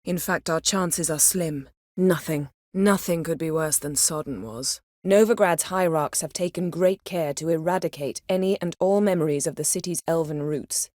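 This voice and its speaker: A woman voicing a proud, sharp-tongued sorceress in a video game, speaking in a cool, measured, sardonic and commanding manner. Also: low voice, no echo, clean studio sound, lightly acted. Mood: serious, composed.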